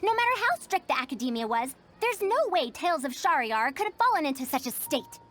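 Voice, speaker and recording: A woman's voice: sits high, sing-song, a little fast, a bit bright. A woman voicing a bookish, fussy scholar in a video game, speaking formally with emphatic, old-fashioned phrasing. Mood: indignant, dismayed. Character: bookish, fussy young-sounding woman scholar, indignant about her beloved books.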